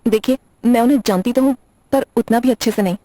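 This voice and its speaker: A woman's voice: a little high, fast, dark tone, no room sound, lightly acted. A woman playing a nervous, apologetic young librarian in an audio drama, hesitant, stammering and defensive. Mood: flustered, defensive. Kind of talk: Hindi audio drama acting.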